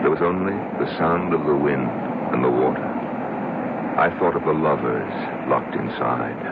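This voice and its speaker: A brooding man in his fifties confesses with grave deliberation in an extremely deep voice. The vintage radio drama recording is muffled and heavily noisy. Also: vocal fry, exaggerated voice. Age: 50s. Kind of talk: old-time radio drama narration.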